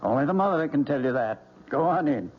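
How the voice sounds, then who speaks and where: An actor playing a calm, reassuring doctor in an old radio drama. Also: male voice, fairly high pitch, fairly quick. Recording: dull old radio broadcast recording.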